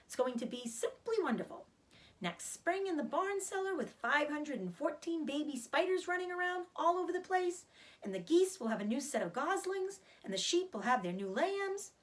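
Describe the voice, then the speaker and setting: A woman's voice: sits high, big pitch swings, normal speed, voice pushed up. A woman voicing an eager, innocent young male pig in a children's storybook, earnest and full of curious questions. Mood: excited, insistent. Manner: wide-eyed, earnest, questioning. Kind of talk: children's storybook read-aloud.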